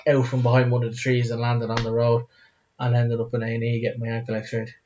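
A man's voice: mid-range voice, monotone, fast talker, darkish tone, muffled recording, a little hiss or hum. A man co-hosting a podcast, casually chatting and recounting things in a conversational way. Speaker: podcast co-host. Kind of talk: casual chat, recounting anecdotes.

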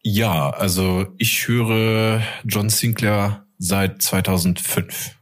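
A man's voice: lowish voice, fairly even intonation, takes it slowly. A man co-hosting a podcast, talking casually in a laid-back, colloquial way on a noisy recording. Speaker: podcast co-host. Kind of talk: casual chat.

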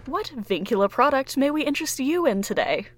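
An actress playing a polished shop clerk who sells devices with practiced cheer. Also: female voice, sits high, big pitch swings, a little fast, slight background noise. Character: smooth female shop clerk selling devices. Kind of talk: audio drama acting.

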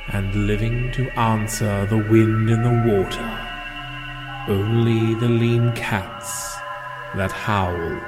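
A man giving a solemn, measured dramatic reading of a poem. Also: on the low side. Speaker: poetry reader. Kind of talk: slow dramatic poetry recitation. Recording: music behind the voice. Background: background music.

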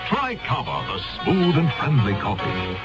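A man's voice: mid-range voice, huge pitch swings, unhurried. A man reading a radio advertisement as a chummy, folksy announcer. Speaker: commercial announcer. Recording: dull 1970s radio ad. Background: loud music.

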